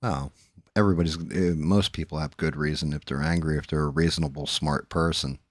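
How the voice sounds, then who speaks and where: Man, very low voice, dry sound, blunt, profane, casual livestream chat. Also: fairly even intonation, fairly quick, no room sound. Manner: blunt, swears freely, restarts sentences. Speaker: livestream host. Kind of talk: casual chat while 3D printing and painting.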